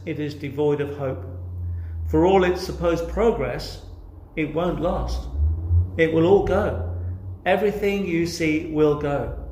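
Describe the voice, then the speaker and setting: An English man delivers a sermon in an earnest, direct way. His voice sits a little higher than most men's. He addresses listeners with rhetorical questions, and the room around him adds a strong echo. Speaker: preacher. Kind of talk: sermon, expository preaching. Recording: echoey, reverberant room sound.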